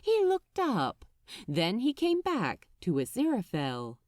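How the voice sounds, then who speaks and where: A woman's voice: medium pitch, huge pitch swings, measured pace, a little mellow, dry recording, clean studio sound. A woman reading fiction aloud as an audiobook narrator, telling the story expressively.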